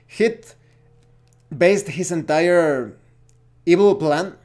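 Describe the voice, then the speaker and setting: A man with a Mexican accent gives a casual opinion monologue as a gaming vlogger, speaking haltingly and searching for words. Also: sits high, a little mellow.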